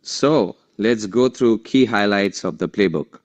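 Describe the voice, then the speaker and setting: Man, very low pitch, formal measured presentation. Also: lively intonation, muffled recording. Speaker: session moderator presenting a report. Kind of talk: prepared webinar presentation.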